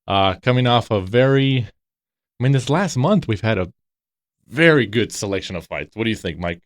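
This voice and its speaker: Low-pitched male host, relaxed and chatty, echoes his co-host, dry close sound. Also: moderate pace. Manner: relaxed, filler-heavy, repeats others' phrases. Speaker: podcast host. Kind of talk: casual podcast chat.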